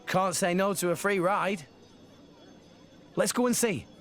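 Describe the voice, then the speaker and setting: A man's voice: high voice, unhurried, fairly bright tone, a little hiss or hum. An actor playing a friendly, earnest teenage boy salvager in a video game cutscene. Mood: upbeat, casual.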